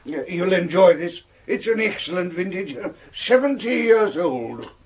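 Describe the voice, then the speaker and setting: A pompous, genial villain in a British radio play, with a British accent. His voice is high and husky, and he speaks very slowly, with halting, florid courtesy and chuckles. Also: animated, darkish tone, heavily acted. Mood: amused, expansive. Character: jovial, pompous, chuckling gentleman villain, self-styled professor. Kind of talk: period radio drama acting.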